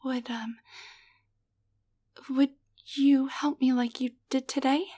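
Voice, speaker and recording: Woman acting a high-voiced, gentle, tiny enchanted doll. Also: level tone, slow pace. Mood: sleepy, grateful. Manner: soft, breathy, hesitant, tender delivery. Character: gentle, grateful young waitress cursed into a tiny living doll. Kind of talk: intimate roleplay audio drama addressed to the listener.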